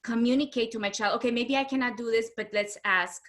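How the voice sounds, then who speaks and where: A woman's voice: on the high side, steady tone, fairly quick, fairly bright tone. A middle-aged woman from Honduras speaking as an interview guest, telling stories conversationally and emphatically, acting out what people say. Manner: emphatic, acts out quoted speech. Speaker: interview guest, homeschooling mother. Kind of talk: animated conversational storytelling.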